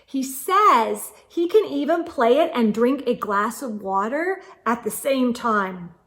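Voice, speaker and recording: A young woman reading a children's picture book aloud, gentle and expressive, with soft hums and asides to young listeners. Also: a little high, slow. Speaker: children's storyteller.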